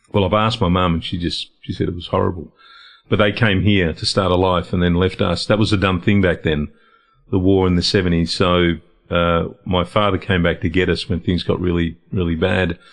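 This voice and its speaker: A man's voice: low voice, little pitch movement, normal speed. A middle-aged man, a guest in a casual interview, speaking reflectively and often restarting or repeating words as he gathers his thoughts. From Lebanon, raised in Australia. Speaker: guest, founder of a men's health organisation.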